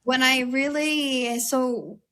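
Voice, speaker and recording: A young American woman, a guest in an interview, speaking candidly in an earnest, conversational way with a slightly raspy voice and many "like"s and "um"s. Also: sits high, steady tone, very slow, pushed and bright. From United States. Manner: earnest, heavy use of "like" and "um".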